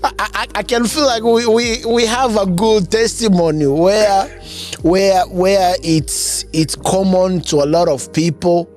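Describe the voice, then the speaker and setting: A man interviews a guest in a conversational way. His voice sits a little high and his pace is very slow, often halting and repeating words. Faint music runs behind him. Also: fairly even intonation, darkish tone. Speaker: podcast host, interviewer.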